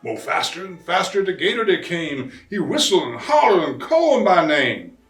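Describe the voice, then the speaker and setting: Man putting on an animated, folksy storyteller. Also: high-pitched, normal speed, voice pushed up. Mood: excited wonder. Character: excitable Cajun bayou papa telling a Christmas tale. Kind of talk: rhyming storybook reading. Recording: roomy, distant mic, faint music.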